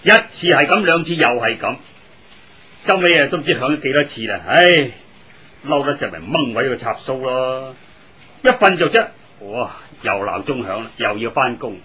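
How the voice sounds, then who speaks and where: A man's voice: mid-range voice, normal speed, lo-fi sound, crowd noise, lightly acted. An actor playing a weary, grumbling, exasperated working man in a 1980s Hong Kong Cantonese radio drama. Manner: grumbling, sighing, excitable.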